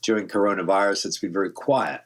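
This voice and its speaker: A man's voice: low-pitched, steady tone, fast. A man in his seventies with a New Zealand accent, talking casually as an interview guest, rambling and thoughtful with many restarts. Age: 70s. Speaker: guest voice actor. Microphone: home studio mic.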